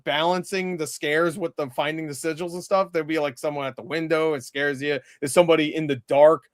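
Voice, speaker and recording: A man chatting casually as he reviews a movie, animated and quick to swear or act out bits. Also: fairly high pitch, dry recording, clean studio sound. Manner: animated, mimicking characters, swearing.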